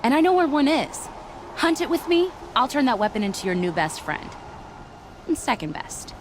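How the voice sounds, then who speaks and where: A woman's voice: sits high, very animated, normal speed, noisy background. A woman acting an eager young weapon tinkerer in a video game, chatty and stumbling over words.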